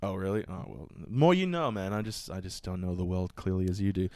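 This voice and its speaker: Low-voiced guy telling sweary comic stories. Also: fairly quick, darkish tone. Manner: sweary, slangy, acts out quotes in mock outrage. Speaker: comedy podcast host. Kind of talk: casual chat, comic storytelling.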